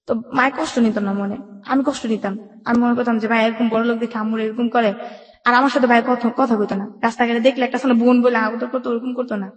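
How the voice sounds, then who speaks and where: A young woman with a colloquial Bangladeshi accent telling a personal story as a radio guest, in run-on everyday speech, recorded with an echoey reverb. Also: fairly high pitch. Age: young adult. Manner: colloquial, run-on recounting with quoted dialogue. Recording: echoey room with long reverb.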